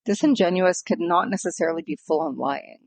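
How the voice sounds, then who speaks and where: Brief: a woman with a gravelly, fry-laden voice, podcast co-host who explains things, dry close mic. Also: fairly low pitch, fairly quick, a little mellow, dry recording. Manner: explaining and clarifying points. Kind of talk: casual conversational podcast.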